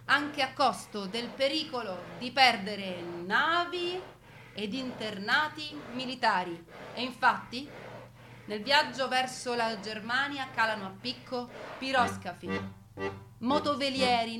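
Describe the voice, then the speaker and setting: A woman's voice: high voice, sharp tone, a little hiss or hum. A woman narrating family war memories as a theatrical monologue, with dramatic delivery and conversational asides to her listeners. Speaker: stage narrator and storyteller.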